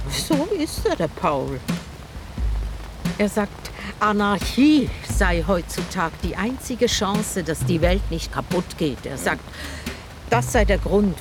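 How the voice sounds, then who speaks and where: Slow, low-voiced, teasing old boatwoman. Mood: fond, wry. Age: older. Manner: chatty, wry, unhurried. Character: talkative, teasing old river boatwoman living in a caravan. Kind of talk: radio drama acting.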